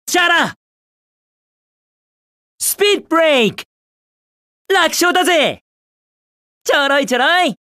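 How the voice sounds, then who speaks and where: An actor playing a cocky, upbeat young hedgehog hero in a video game, with a brash, casual, self-assured delivery. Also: male voice, very high-pitched, expressive, unhurried, long pauses, sharp tone, no echo, exaggerated voice. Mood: excited. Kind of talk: Japanese video game voice clips.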